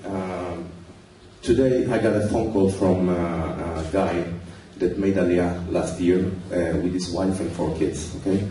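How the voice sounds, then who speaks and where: An Israeli man addresses a crowd with mounting urgency, punctuating his points with "okay" and "guys". His voice is low and mellow. It is picked up from far off in a booming, echoing hall with faint background noise. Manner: urgent, repeats okay and guys, rises to near shouting. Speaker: activist. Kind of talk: impassioned audience comment at a public meeting. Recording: echoey hall, heard from far off.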